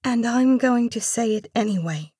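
A woman voicing a meek, polite but resolute immigrant woman in an audiobook story, soft-spoken and pleading. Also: on the high side, normal speed, slightly rough, dry recording, clean studio sound, voice pushed up. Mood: earnest, firm.

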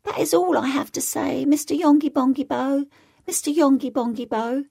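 A woman voicing a sad, gentle lady from a nonsense poem, speaking in a high, lilting sing-song. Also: normal speed, gravelly. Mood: sorrowful.

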